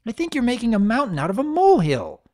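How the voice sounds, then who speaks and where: A man voicing a stammering, smooth-talking pegasus stallion in a story reading. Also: very high-pitched, wildly swooping pitch, fast talker, darkish tone, pitched above the performer's own voice, heavily acted. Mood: pleading. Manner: pleading, stammering.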